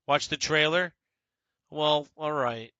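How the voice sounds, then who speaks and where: A man's voice: a little high, takes it slowly, bright, forceful tone, clear, resonant tone, no room sound, lo-fi sound. A man streaming a golf video game, chatting casually with his viewers and talking to them by name. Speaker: gaming streamer. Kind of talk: casual commentary over gameplay.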